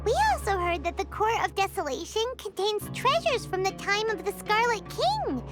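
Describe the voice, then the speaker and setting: A woman voicing a tiny, plucky fairy companion in a video game, squeaky and childlike, eager and emphatic, with music behind. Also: lively intonation, normal speed. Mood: curious. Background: background music.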